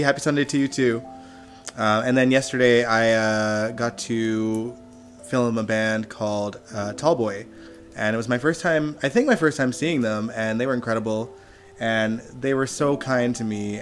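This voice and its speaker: A man hosting a casual live stream, chatty and animated, laughing often, with a slightly hoarse voice. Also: medium pitch. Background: music playing faintly.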